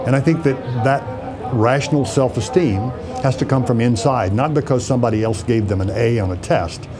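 A man in a documentary interview, speaking in a measured, reflective way. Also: medium pitch, a crowd in the background.

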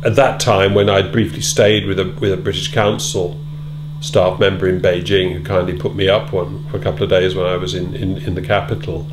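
A middle-aged man being interviewed in a wood-panelled room, answering in a measured, thoughtful way with many ums and repeated words. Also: deep voice, little pitch movement. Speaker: interviewee, former British Council officer. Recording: loud hum behind.

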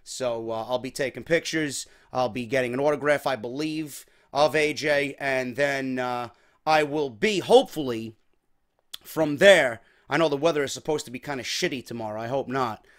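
A young man, his voice slightly worn, hosting a casual wrestling talk show from a small home room on a desk microphone, energetic and chatty. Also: medium pitch, moderate pace. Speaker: podcast host. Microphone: desk mic on boom arm.

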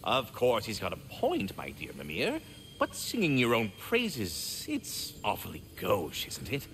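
Prim squirrel caretaker, wildly swooping intonation, courteous, wordy, sardonic, video game character, faint ambience. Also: male voice, on the low side, wildly swooping pitch, measured pace, fairly bright tone, a little hiss or hum, heavily acted. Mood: dry, exasperated. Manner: verbose, formal, fussily polite, sardonic.

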